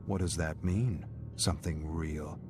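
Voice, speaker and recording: A man's voice: rumbling low, a little slow, music in the background. A man narrating a game story and playing a cool, challenging young male gang ringleader. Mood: curious.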